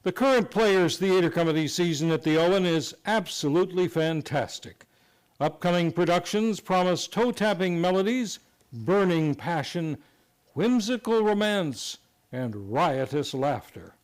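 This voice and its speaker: Male promo announcer: fairly high voice, unhurried, showy delivery of scripted theatre copy. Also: animated, clean tone.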